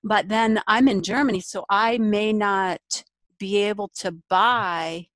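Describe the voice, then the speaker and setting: A woman's voice: medium pitch, takes it slowly, fairly bright tone, no echo. A woman hosting a business interview, thinking aloud and giving advice through hypothetical examples. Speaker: podcast host.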